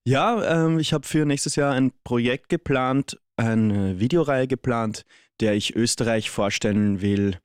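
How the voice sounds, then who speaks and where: A man, an actor chatting as a relaxed, joking radio guest with many small hesitations. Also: mid-range voice, measured pace, smooth, dry recording, studio quality. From Vienna, Austria. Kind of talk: casual radio interview. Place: radio studio.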